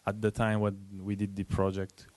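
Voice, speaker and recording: A man with an Italian accent presenting at a tech meetup, speaking earnestly and haltingly with many fillers. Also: lowish voice, monotone, a little mellow, no room sound.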